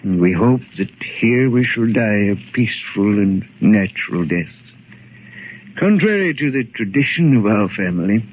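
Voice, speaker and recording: An actor playing a courteous, reclusive older Victorian gentleman in 1940s radio drama, with formal, hesitant phrasing. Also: lowish voice, expressive, normal speed, husky. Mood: confiding. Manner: formal, polite, hesitant old-fashioned phrasing. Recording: tinny, narrow 1940s radio recording.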